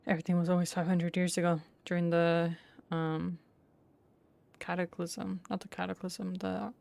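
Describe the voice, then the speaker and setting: A woman streaming a game with casual reaction commentary, musing aloud and correcting herself, in a creaky voice. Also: fairly low pitch, flat delivery, moderate pace, a little mellow.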